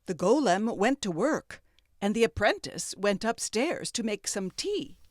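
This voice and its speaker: A woman narrating a folktale as a storyteller, with expressive, dramatic phrasing. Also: medium pitch, a little slow, no echo, studio quality.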